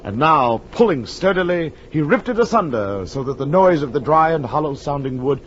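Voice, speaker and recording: An actor playing an earnest, uneasy gentleman friend who narrates a gothic horror tale, grave and theatrical in 1940s radio style. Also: a little high, expressive, moderate pace, slight background noise, close to an everyday voice. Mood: dramatic reading. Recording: muffled 1940s radio recording with music.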